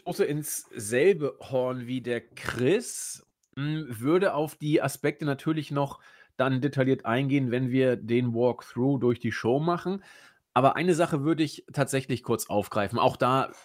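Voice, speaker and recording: A man hosting a podcast in casual chat, a bit hoarse from a cold, who often restarts his sentences. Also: mid-range voice. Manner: chatty, restarts sentences, laughs at own slips. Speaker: podcast host.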